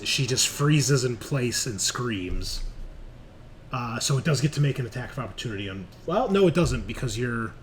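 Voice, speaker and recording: Male game master for tabletop sessions, mid-pitched, explains mechanics and narrates action. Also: a bit bright, slight background noise. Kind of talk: running a tabletop roleplaying game online.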